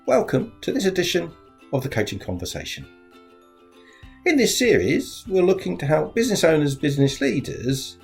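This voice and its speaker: A man introduces his business coaching podcast in a mid-range voice that rises and falls a lot as he presents. Faint plucked guitar music plays behind him. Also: gravelly. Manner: lively, upbeat presenting with wide pitch swings.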